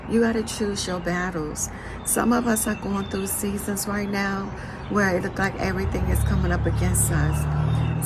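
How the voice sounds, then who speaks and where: Hoarse woman preaching with a gravelly voice. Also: lowish voice, background noise. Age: adult with children. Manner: preachy, repeats "right?" after phrases. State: hoarse, straining voice. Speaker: motivational speaker. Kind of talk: inspirational faith talk.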